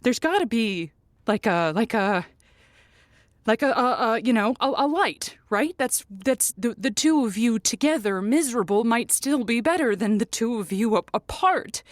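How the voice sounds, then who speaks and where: An earnest, invested female advice host played by an actress in a radio drama. Her slightly high voice is close and dry, and she gropes for words with stammers and heavy emphasis. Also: animated, moderate pace, no echo, close to an everyday voice. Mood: gently pleading. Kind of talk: naturalistic audio drama acting.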